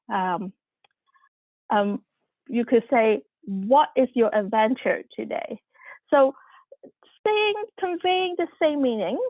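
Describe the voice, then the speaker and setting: A woman's voice: high voice, dry recording. Woman presenter, Cantonese native, tinny line. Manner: conversational, many fillers and restarts. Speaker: workshop presenter. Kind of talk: online workshop talk. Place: home room, video call. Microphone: computer mic on video call. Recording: tinny phone-like audio.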